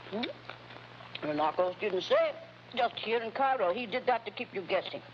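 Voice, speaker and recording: A woman's voice: mid-range voice, animated, moderate pace, natural voice. A woman playing a flirtatious, carefree informant, coaxing and playful in broken English, in 1950s-style television drama. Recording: dull, hissy old TV soundtrack.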